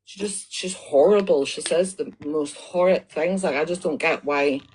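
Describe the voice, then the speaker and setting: A woman's voice: low-pitched, normal speed, darkish tone, a little hiss or hum, poor audio. A woman with a Northern Irish accent, talking to viewers on a live stream in an indignant, accusatory way and repeating herself as she builds her point. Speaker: livestreamer.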